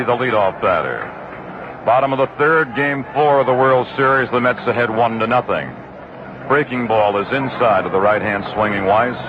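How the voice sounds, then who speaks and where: A man doing live radio baseball play-by-play in clipped, descriptive phrases. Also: mid-range voice. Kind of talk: live radio sports commentary. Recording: muffled, very noisy 1960s radio broadcast.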